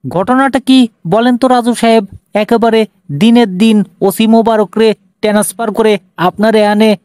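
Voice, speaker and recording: Man as a high-pitched, flustered Bangladeshi cartoon politician. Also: fairly even intonation, moderate pace, darkish tone, smooth, no echo, clean studio sound, lightly acted. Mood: pompous. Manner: repetitive, self-important, evasive. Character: flustered, defensive male member of parliament. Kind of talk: cartoon dialogue.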